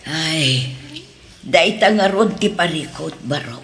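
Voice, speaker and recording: A woman playing a concerned, expressive mother in a radio drama with an Ilocano accent, on a noisy recording. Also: low-pitched, takes it slowly, exaggerated voice. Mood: frank, discouraging.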